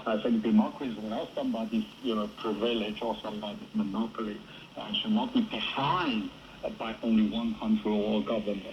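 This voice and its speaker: A man with a fairly low voice offers political commentary in halting, non-native English. He is a professor on a panel, heard through clear shortwave static. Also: little pitch movement, highs cut off. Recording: shortwave radio with heavy static. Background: shortwave radio noise.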